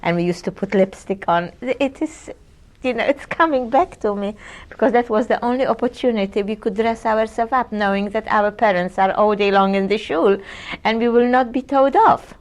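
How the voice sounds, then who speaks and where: A Polish-born woman in her sixties giving oral history testimony in an interview, often pausing and searching for words. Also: a little high. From Poland. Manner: hesitant, searching for words with many ums and restarts. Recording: 1980s audio cassette interview.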